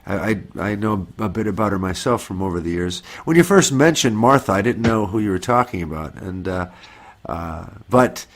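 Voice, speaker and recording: A man's voice: on the low side, expressive, normal speed. A man hosting a podcast interview, speaking with a cold and a slightly nasal voice in a friendly, joking way. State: has a cold. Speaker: podcast host. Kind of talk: interviewing a guest.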